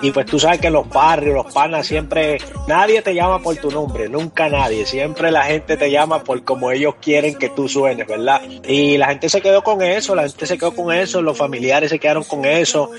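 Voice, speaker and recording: A man's voice: fairly high pitch, moderate pace, hardly any pauses, soft background music. A man from New York City chatting casually as an interview guest, with slangy, emphatic talk and frequent repeated phrases. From New York City, United States. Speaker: music artist being interviewed.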